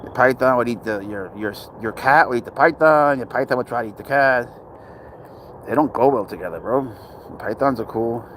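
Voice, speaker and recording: A man's voice: mid-range voice, normal speed. Male streamer from Spain, casual and rambling, answering chat live outdoors, light street noise. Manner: chatty, rambling, answers chat questions aloud. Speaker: livestream host. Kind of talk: casual livestream chat reading viewer comments.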